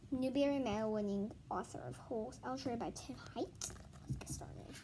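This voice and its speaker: A female speaker in a casual home read-aloud and vlog, chatting informally with muttered asides to herself. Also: high-pitched. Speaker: host reading a children's book aloud. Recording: noisy home recording with clicks.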